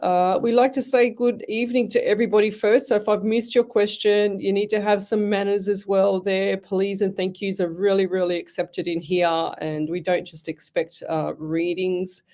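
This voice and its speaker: A woman's voice: mid-range voice, little pitch movement, normal speed, hardly any pauses, warm tone. An Australian woman hosting a live radio show as a psychic medium, chatty and rambling, often restarting her sentences. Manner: chatty, rambling, self-interrupting.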